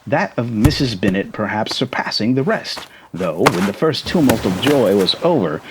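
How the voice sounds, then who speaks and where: A man's voice: lowish voice, sing-song, moderate pace, noisy background. A man in an ASMR roleplay, casually reading a story aloud and chatting between passages.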